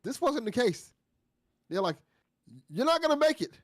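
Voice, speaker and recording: High-pitched, lively man in casual discussion. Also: moderate pace, no room sound, studio quality. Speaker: panelist on a fiction after-show.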